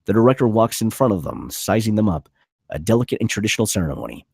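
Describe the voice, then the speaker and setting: A man's voice: fairly low pitch, sing-song, fast, gravelly, a little mellow, husky, no room sound, studio quality. A man narrating a screenplay at a table read, reading out scene headings and stage directions.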